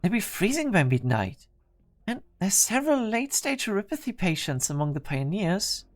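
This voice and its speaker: A worried young engineer pleads with rising concern, her pitch swinging lively and expressive, voiced by a woman. Her tone is anxious and earnest. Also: moderate pace.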